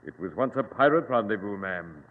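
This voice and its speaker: An actor playing a menacing, boastful pirate captain in formal, grandiloquent old-time radio drama style. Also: male voice, lively intonation, fairly quick, big-room sound, close to an everyday voice. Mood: proud boasting. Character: menacing, boastful modern pirate captain holding captives at gunpoint. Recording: muffled, noisy old radio recording, boomy.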